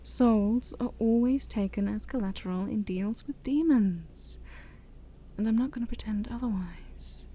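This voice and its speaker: A woman playing a shy, scheming demon princess of a dark forest in an ASMR roleplay, soft, breathy and mostly whispered, with coaxing, formal speech and playful asides. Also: medium pitch, a little hiss or hum, close to an everyday voice. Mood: sly, persuasive. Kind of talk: ASMR roleplay addressed to the listener.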